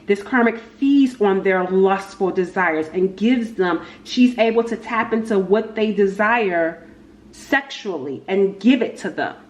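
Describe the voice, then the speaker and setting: Woman, tarot reader, mid-pitched voice, halting and repetitive, casual delivery, echoey room, faint noise. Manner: halting, repeats phrases, talks to spirit and cards. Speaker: tarot reader, channel host. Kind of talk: casual tarot card reading, unscripted.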